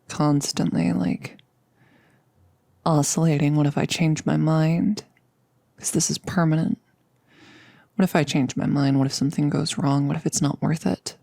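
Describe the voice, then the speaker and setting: A woman performs a nervous girlfriend confiding in her partner in an ASMR audio roleplay. Her voice sits low and crackles with fry, in a very dry recording with no room around it. She rambles intimately, halting and hesitating as she opens up. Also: steady tone, mellow, dry recording, full character voice. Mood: vulnerable, reflective. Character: nervous, chatty girlfriend about to become a vampire.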